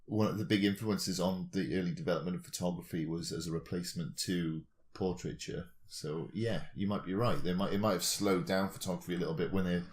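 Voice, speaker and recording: A man with a low, deep voice chats as a podcast co-host. He works through speculative ideas in a discursive way, building on examples as he goes. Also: fairly even intonation, brisk, hardly any pauses. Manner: discursive, reasoning aloud with examples.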